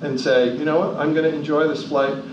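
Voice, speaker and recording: New jersey guy giving a pep talk, keeps asking the crowd questions, slightly high voice, far from the mic in a big room. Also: level tone, a little fast. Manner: emphatic, rhetorical questions, varies pace for effect. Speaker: motivational speaker. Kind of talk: motivational talk to an audience. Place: large hall. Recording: echoey, distant, dull hall recording with noise.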